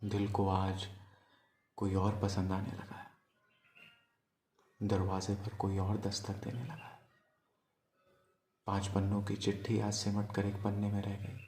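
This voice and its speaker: A man performing a poetic love monologue as a storyteller, in a wistful, deliberate manner with pauses. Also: lowish voice, completely flat delivery, darkish tone, roomy sound, slight background noise. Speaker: spoken-word storyteller. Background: music.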